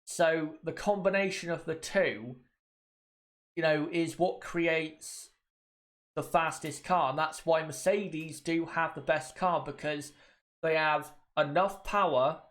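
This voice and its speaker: A man's voice: a little high, slow, fairly bright tone. Man with a cold, chatting. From United Kingdom. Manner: chatty, repeats points, addresses viewers directly. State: has a cold. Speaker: livestream host. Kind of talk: casual watchalong commentary.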